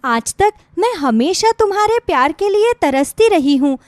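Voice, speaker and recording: A woman's voice: very high-pitched, animated, a little slow, dry recording, voice pushed up. A woman voicing an anxious young bride with a pleading, nervous, apologetic manner in a moral story. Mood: tearful pleading. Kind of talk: Hindi moral story acting.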